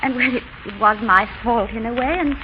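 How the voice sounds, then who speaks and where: An actress playing a spirited young Englishwoman in love, tender and gently teasing, in a 1930s radio drama. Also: a little high, fairly quick, slight background noise, natural voice. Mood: hesitant, protective. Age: young adult. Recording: muffled 1930s radio broadcast, faint music.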